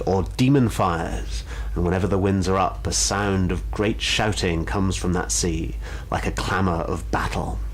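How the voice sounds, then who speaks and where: Low voiced guy, guest storyteller, polite n measured, bit of hiss behind him. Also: low-quality recording. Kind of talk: measured explanation and story reading.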